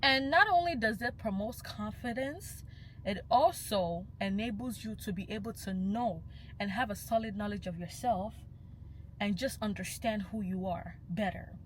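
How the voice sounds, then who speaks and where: A woman's voice: medium pitch, normal speed, a little hiss or hum, rough recording. Passionate young woman. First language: Yoruba. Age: young adult. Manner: passionate and emphatic, slipping into Yoruba phrases. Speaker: vlogger. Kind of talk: casual vlog monologue.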